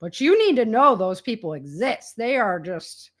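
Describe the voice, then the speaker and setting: A woman's voice: fairly high pitch, sing-song, moderate pace. A woman speaking as a guest in a casual interview, with an earnest and enthusiastic way of talking. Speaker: interview guest, author and audio drama producer.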